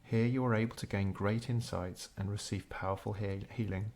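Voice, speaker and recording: A man narrating a scripted guided meditation in a measured, unhurried storytelling way. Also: on the low side, fairly even intonation, a little mellow.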